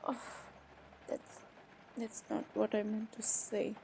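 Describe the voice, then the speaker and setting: A woman's voice: mid-range voice, monotone, takes it slowly, creaky, darkish tone, slight background noise. A woman playing a shy, flustered young woman in an improvised intimate romantic audio roleplay, stammering and hesitating as she talks. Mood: flustered, defensive. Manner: hesitant, stammering, breaking off and repeating words.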